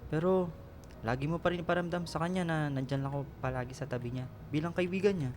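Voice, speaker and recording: A man's voice: a little high, fast talker, a little mellow, slight background noise, lightly acted. A man playing an earnest, anxious young patient in a radio drama, speaking politely and hesitantly. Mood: pleading, subdued.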